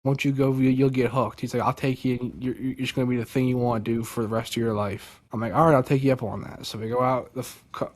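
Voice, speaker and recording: A young man from Delaware, a podcast guest, telling a story casually and excitedly, with lots of "like" and "dude". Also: mid-range voice, quick pace, few pauses. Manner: animated, many fillers like "like" and "dude".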